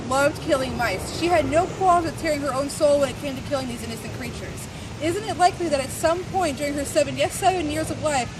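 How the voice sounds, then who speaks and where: High-pitched theatrical woman reading aloud, noisy recording. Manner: theatrical, emphatic, mock-serious. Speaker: reader of a fan zine. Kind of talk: dramatic reading of a scripted mock-conspiracy essay.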